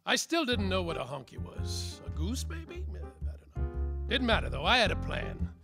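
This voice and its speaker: A man's voice: high-pitched, huge pitch swings, moderate pace, creaky, music in the background, heavily acted. A man playing a world-weary, boastful private detective in a slapstick radio mystery. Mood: narrating, dry. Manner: boastful, hard-boiled. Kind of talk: parody radio-mystery acting and narration.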